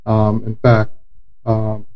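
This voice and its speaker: A man from South Florida who works as an attorney, speaking in a measured, articulate way on a podcast. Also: fairly low pitch, completely flat delivery, muffled recording. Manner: measured and articulate, with some fillers. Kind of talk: casual podcast interview.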